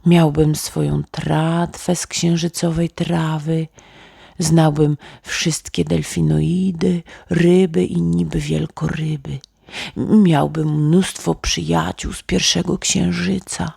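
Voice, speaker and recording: Woman as imaginative little boy, bedtime story, pondering aloud, unhurried, slightly gravelly. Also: sits low, little pitch movement, mellow, no echo, clean studio sound, heavily acted. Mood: dreamy. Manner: musing, pondering aloud with hums. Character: dreamy, imaginative little boy, sleepy yet excited.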